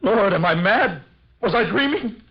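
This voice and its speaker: Guilt-crazed man at breaking point: high, wildly swinging voice, melodramatic 1940s radio horror. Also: expressive, slightly rough, heavily acted. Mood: dazed despair. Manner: melodramatic, anguished, halting. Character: guilt-crazed doomed man driven to suicide. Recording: muffled old radio recording with music.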